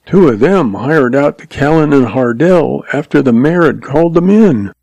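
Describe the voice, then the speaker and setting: A man reads as a slight young frontier schoolteacher, a woman with an earnest, pleading way of speaking. The pitch is lifted well up, in storybook style, with a dry, close sound. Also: expressive, moderate pace, gravelly, darkish tone, no echo. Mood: anxious.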